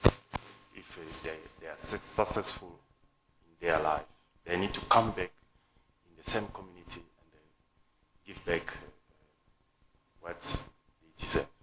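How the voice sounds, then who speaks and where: Slow, deep Congolese man searching for words. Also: a bit bright, slight background noise, low-quality recording. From Democratic Republic of the Congo. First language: French. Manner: halting, searching for words, many fillers. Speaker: coach, panel guest. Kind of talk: panel discussion answers.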